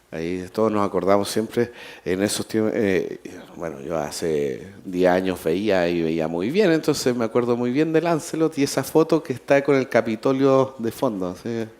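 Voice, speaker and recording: A man hosting a conference, introducing the next speaker in a halting, friendly way. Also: lowish voice, darkish tone. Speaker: conference host. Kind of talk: live conference emcee.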